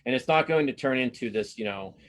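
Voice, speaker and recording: Halting, earnest middle-aged man. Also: mid-range voice, a bit bright. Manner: halting, self-interrupting, earnest. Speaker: cartoonist being interviewed. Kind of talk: casual live interview.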